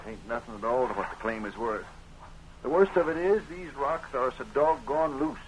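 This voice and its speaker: An American actor playing an honest, frustrated gold miner in 1930s radio drama style, with folksy rustic speech. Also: male voice, mid-range voice, animated, normal speed, natural voice. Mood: discouraged, aggrieved. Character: honest, frustrated male gold miner, plain-spoken frontier husband. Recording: noisy 1930s radio recording.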